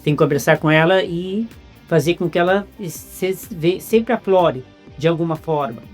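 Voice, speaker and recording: A Brazilian man with a Brazilian Portuguese accent, hosting an interview in a casual, halting way with many restarts. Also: on the high side, faint music behind. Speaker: interviewer host.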